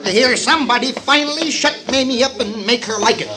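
A stammering meek little husband. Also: male voice, very high voice, a little fast, sharp tone. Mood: sheepish. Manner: stammering, sheepish. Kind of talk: 1950s radio drama acting. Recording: old radio broadcast.

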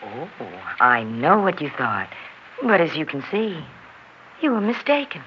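Bitterly sarcastic wronged wife, swooping intonation. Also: female voice, low voice, sing-song, unhurried. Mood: cool sarcasm. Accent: American. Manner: mocking, self-deprecating irony. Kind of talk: 1960s radio drama acting. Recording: dull, hissy 1960s radio recording.

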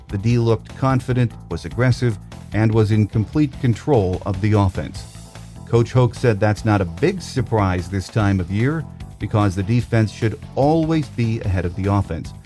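A man's voice: on the low side, mellow. A man hosting a sports podcast, presenting scripted news and introducing interviews. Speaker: podcast host.

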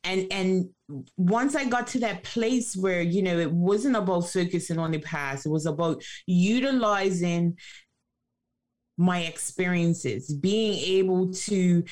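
A woman's voice: lowish voice, slow pace, darkish tone. A woman speaking as a life coach guest in an interview, emphatic and motivational, repeating phrases for rhythm.

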